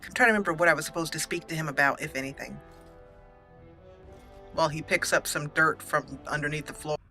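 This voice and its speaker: A woman's voice: sits low, a little fast, bright, forceful tone, faint music behind. A woman giving chatty, giggly let's play roleplay commentary, slipping into reading lines in character. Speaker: gaming channel host.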